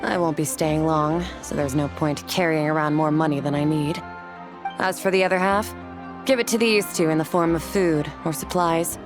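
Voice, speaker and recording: A woman voicing a cool, aloof young warrior woman in a video game, speaking in a measured, terse and formal way. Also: deep voice, fairly even intonation, over music, natural voice. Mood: brisk, detached.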